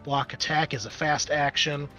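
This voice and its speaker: A man's voice: on the high side, steady tone, normal speed, bright, forceful tone, faint music behind. A man speaking casually as game master, explaining rules and narrating play in a tabletop roleplaying game.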